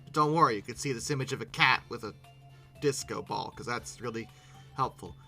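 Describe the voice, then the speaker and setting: A YouTube host giving casual, animated reaction commentary with exasperated asides. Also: medium pitch, a little fast, faint music behind.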